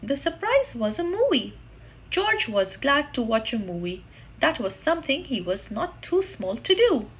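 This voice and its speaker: A woman reading a children's story aloud, deliberately and expressively, with drawn-out emphasis. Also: high voice, sing-song, slightly rough.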